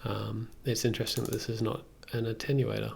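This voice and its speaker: A man's voice: fairly low pitch, flat delivery, fairly quick, creaky, darkish tone, slightly rough. A young man giving a casual live tutorial, thinking aloud in a musing way as he streams. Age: young adult. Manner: musing, reads aloud then comments. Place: small room indoors at computer desk.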